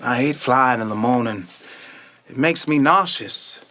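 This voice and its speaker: An actor playing a sullen, sensitive and skeptical younger brother in a radio play. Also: male voice, medium pitch, a little slow, bright, forceful tone, no room sound.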